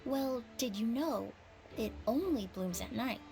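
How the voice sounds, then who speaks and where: A woman's voice: a little high, expressive, unhurried, creaky, dark tone, faint music behind. A woman playing a poised, clever young investigator in an anime-style video game, explaining things precisely and with composure. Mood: composed, knowing.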